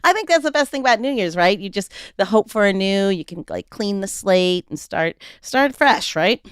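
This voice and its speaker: A woman's voice: medium pitch, animated, normal speed, clear, resonant tone, no room sound, studio quality. A woman hosting a podcast in a casual, chatty way, laughing often. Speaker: podcast host.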